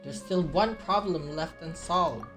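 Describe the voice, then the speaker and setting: Low-voiced woman game streamer, native Filipino speaker. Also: unhurried. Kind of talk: casual playthrough, reading dialogue choices aloud. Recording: game music behind. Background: game music.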